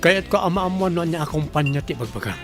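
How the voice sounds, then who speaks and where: A man's voice: fairly high pitch, lively intonation, fast, over music, close to an everyday voice. An actor playing an easygoing businessman in a Filipino radio drama, with a Filipino accent. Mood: businesslike. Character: businessman friend, easygoing, recruiting a young graduate.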